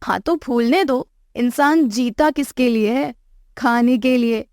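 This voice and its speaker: A woman plays a young bride from a poor village, brazen and greedy for food. The voice is fairly high and dry, with no room around it. She talks back with cheek and defiance, in cartoon storytelling style. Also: measured pace, darkish tone, no echo. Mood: defiant. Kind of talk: Hindi moral-story cartoon dialogue.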